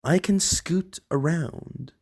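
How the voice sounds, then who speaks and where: A reader voicing a plucky, determined little car in a children's storybook, with an earnest, deliberate delivery. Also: mid-range voice, a little mellow, breathy edge, pitched above the performer's own voice.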